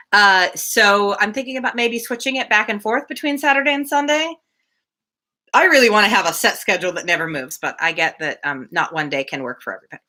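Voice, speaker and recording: A woman's voice: medium pitch, pushed and bright. A woman hosting a live novel reading, speaking informally and thinking aloud with casual asides. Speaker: livestream reader and host.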